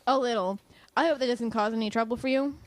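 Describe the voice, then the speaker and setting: A woman playing a protective, composed professor in an audio drama, measured and dry with a pointed edge. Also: fairly high pitch, lightly acted. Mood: dryly amused.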